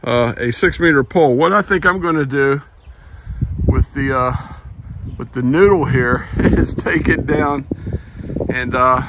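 A man's voice: mid-range voice, measured pace. An older American man talking casually as he hosts an outdoor hobby vlog. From United States. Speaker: amateur radio operator and video host. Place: outdoors in a backyard garden. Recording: outdoor recording with clear background noise.

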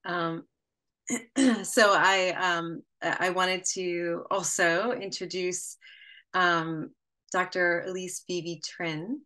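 A middle-aged woman moderating a panel over a video call from a room at home, talking warmly with many fillers and pauses. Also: lowish voice, steady tone. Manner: warm, hesitant with many fillers. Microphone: laptop webcam on video call.

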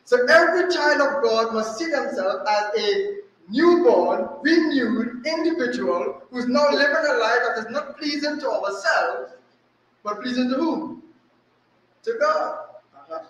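A man's voice: very high-pitched, animated, unhurried, a bit bright. A middle-aged man with a Trinidadian accent preaching a sermon at a lectern stand mic, emphatic, with rhetorical questions and repetition. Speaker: resident preacher. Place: small church room, indoors. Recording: very echoey room.

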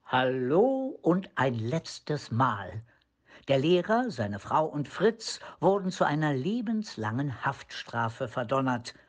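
An actor narrating a police crime radio play in a scripted storytelling manner. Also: male voice, medium pitch, exaggerated voice.

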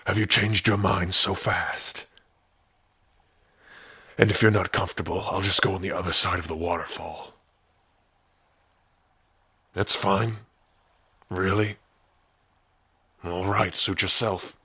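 A man playing a big, gruff orc with a rough, husky voice, teasing yet secretly soft-hearted, in an ASMR roleplay. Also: sits low, fairly even intonation, slow pace, pauses often, dry recording, exaggerated voice. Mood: teasing, relaxed. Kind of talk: ASMR roleplay addressed to the listener.

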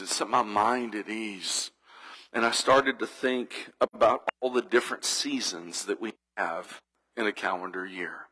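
A man's voice: medium pitch, pushed and bright, rough recording. A man preaching a sermon as a church pastor, earnest and reflective, building through repeated phrases. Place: church sanctuary.

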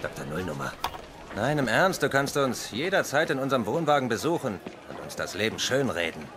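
An actor playing a bitter, sarcastic middle-aged janitor in a TV comedy dub, taunting with deadpan mock gratitude. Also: male voice, mid-range voice, brisk, background noise, close to an everyday voice. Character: bitter, sarcastic 37-year-old hospital janitor. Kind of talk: German-dubbed TV comedy acting.

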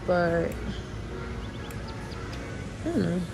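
A woman's voice: fairly low pitch, very animated, extremely slow, creaky. A young woman, a vlogger casually thinking aloud while shopping, recorded on a handheld phone. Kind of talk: casual chat. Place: large store, then home kitchen. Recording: music bed under voice.

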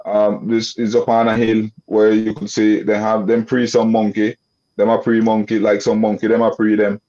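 A young man from Nicaragua speaks English with a Creole accent, his voice dark and mellow. He rambles warmly and restarts his sentences, leaning on "you know". The video call audio sounds dull and lo-fi. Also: on the low side. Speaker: guest. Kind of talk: casual video-call interview. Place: indoor room.

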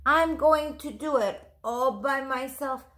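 A woman's voice: sits high, slow pace, a bit bright, pitched above the performer's own voice. A woman voicing a determined young boy in a storybook, polite, earnest and emphatic. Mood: polite, firm.

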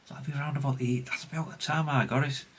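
A man's voice: medium pitch, steady tone, fast, fairly bright tone, slightly rough. A middle-aged British man giving casual, unscripted workbench commentary in a rambling, chatty, plain-spoken way. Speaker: hobbyist radio repair vlogger.

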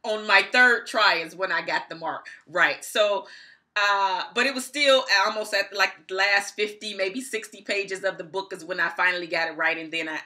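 A woman's voice: mid-range voice, normal speed, bright, forceful tone. A middle-aged woman giving a casual review to camera, stressing her points emphatically and repeating phrases. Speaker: book reviewer, vlogger. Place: small indoor room at home.

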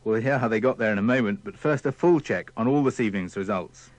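A man's voice: lowish voice, quick pace, no room sound. A man presenting a radio sports programme from a script.

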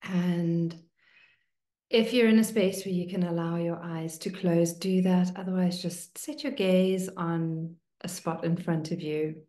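A woman meditation teacher leading a guided meditation in a hushed, soothing manner, leaving long pauses between short phrases. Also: lowish voice, fairly even intonation. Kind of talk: guided meditation, slow and spoken to listeners. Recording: roomy, echoing sound.

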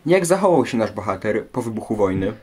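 A man's voice: on the low side, big pitch swings, a little fast, a little mellow, faint music behind. A man presenting from a script as a host who asks questions about history.

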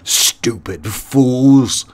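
A man's voice: medium pitch, animated, unhurried, close to an everyday voice. A man voicing a brutal, contemptuous one-eyed rat warlord from a storybook, bellowing and snarling his commands. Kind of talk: storybook character voice.

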